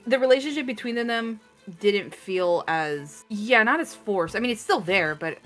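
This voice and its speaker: A woman's voice: medium pitch, expressive, moderate pace, a bit bright. A woman co-hosting a casual, chatty fan discussion. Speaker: co-host. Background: faint music.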